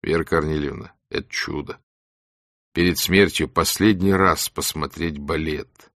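A man voicing a gruff, wry, ailing ex-camp exile who tells things in animated colloquial speech. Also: very deep voice, unhurried, no room sound, studio quality, exaggerated voice. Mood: rueful, animated.

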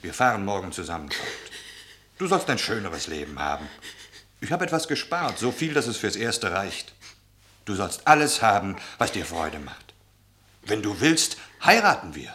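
A man's voice: lowish voice, very animated, moderate pace, pushed and bright. An actor playing a devoted, protective man in a radio play, reassuring with earnest urgency. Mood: pleading, hopeful. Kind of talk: German radio play acting.

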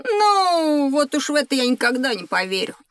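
A woman voicing a curious, excitable, skeptical schoolboy in a scripted educational audio drama. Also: sits high, normal speed.

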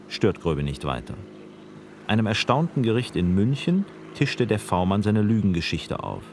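A man narrating a radio documentary in a measured, matter-of-fact way. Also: fairly low pitch, a little hiss or hum.

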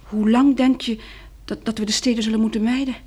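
Radio drama survivor: young, wry and willing, soft-spoken with a husky edge. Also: female voice, on the high side, fairly even intonation, a little fast. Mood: thoughtful. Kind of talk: Dutch radio drama acting.

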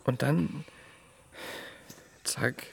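An actor playing an anxious, apologetic expectant father, halting and intimate in naturalistic radio play dialogue. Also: mid-range voice, steady tone, gravelly, slight background noise, heavily acted. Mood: anxious, confessing.

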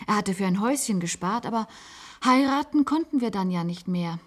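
An actress playing a wistful, resigned former secretary in a German science-fiction radio play, confiding in halting phrases. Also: female voice, medium pitch, lively intonation, close to an everyday voice. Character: wistful former secretary, resigned patient living in an isolated institute.

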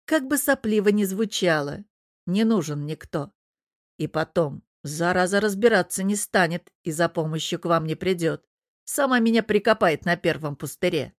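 A woman's voice: fairly low pitch, expressive, moderate pace, dry recording, clean studio sound, natural voice. A woman voicing a stubborn, exasperated young man in love, slangy and defiant, in a story reading. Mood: glum confession.

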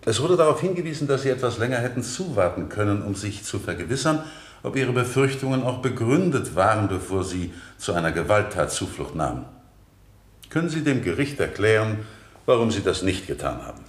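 Formal court questioner, radio drama, lively pitch swings, lowish voice, echoey. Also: male voice. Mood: formal, probing. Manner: formal, measured, insistent. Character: formal, probing courtroom examiner questioning witnesses. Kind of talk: radio play courtroom interrogation. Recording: echoey room, 1970 radio play.

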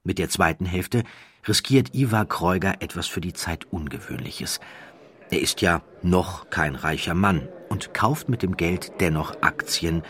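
A man narrating a scripted documentary story in a measured, dramatic way with deliberate pauses. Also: low-pitched, animated, fairly bright tone.

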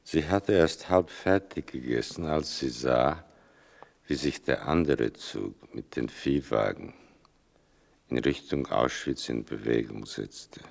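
An actor playing an older narrator in a literary radio play, gravelly and deliberate, with a bitterly ironic, mournful manner. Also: male voice, extremely low pitch, heavily acted. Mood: grave, restrained. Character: sardonic, mournful male narrator telling his mother's wartime story.

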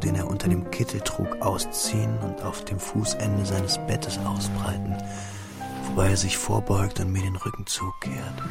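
A wistful grown man looks back on his schooldays in a radio drama. His voice sits low with a creaky grain, and he tells it in measured literary detail. Music plays clearly behind him.

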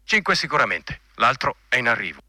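A man's voice: mid-range voice, sing-song, brisk, sharp tone, dry recording, clean studio sound. An actor playing a coarse, bigoted male army general in a radio drama, blunt, commanding and insinuating. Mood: chummy, insinuating. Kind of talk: Italian radio drama acting.

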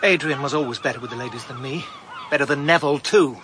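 A man's voice: medium pitch, fast, pushed and bright, a little hiss or hum, natural voice. An actor playing a blunt, loyal suitor protective of a woman, in a radio drama. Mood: firm. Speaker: Royd. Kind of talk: BBC radio drama acting.

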